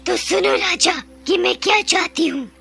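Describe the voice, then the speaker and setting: A woman voicing a menacing, demanding witch in a cartoon story, with threatening, drawn-out taunts. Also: very high-pitched, creaky, bright, forceful tone, soft background music, voice pushed up, heavily acted. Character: menacing female witch demanding marriage from a king. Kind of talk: dramatic cartoon story voice acting.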